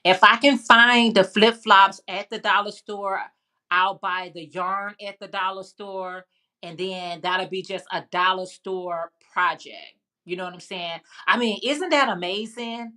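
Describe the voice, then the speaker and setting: A woman's voice: medium pitch, measured pace, pushed and bright. A woman with a Chicago accent hosting a casual live chat, rambling and answering viewer comments. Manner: chatty, rambling, frequent fillers and restarts. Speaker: livestream host.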